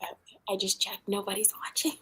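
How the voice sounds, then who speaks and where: A woman's voice: mid-range voice, completely flat delivery, fairly quick, pushed and bright, slight background noise. A woman chatting informally as co-host of a casual livestream, in easy back-and-forth banter.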